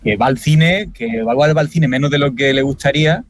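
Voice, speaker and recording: A man who runs a festival, talking in a relaxed way with many fillers. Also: mid-range voice, brisk, a little hiss or hum. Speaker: festival organizer. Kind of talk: casual discussion.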